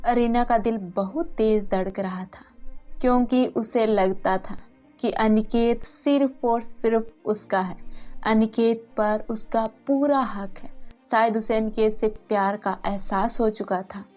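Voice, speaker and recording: A woman's voice: on the high side, takes it slowly, darkish tone, soft background music. A woman narrating a scripted love story as its storyteller.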